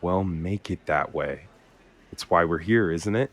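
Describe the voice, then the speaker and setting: Deep male voice, actor for a weary, consoling man in an audio drama; halting, sincere, natural delivery. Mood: bitter, reflective. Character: weary, consoling man grieving a lost sister.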